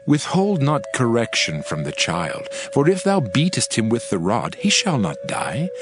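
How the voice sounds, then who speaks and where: A man giving a dramatized Bible reading in a solemn, emphatic, oratorical manner. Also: mid-range voice. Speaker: scripture reader. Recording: music behind the voice. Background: music.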